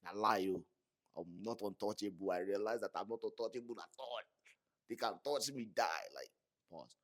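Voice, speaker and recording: A young man from Nigeria with a Yoruba accent, telling a personal story to camera in a slangy, animated way with many fillers. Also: lowish voice, normal speed. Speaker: vlogger. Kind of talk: casual monologue to camera.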